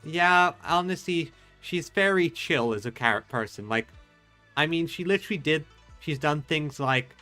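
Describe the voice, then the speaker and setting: A man streaming a game with casual commentary, halting and repeating words as he goes. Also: on the high side, expressive, sharp tone, faint music behind.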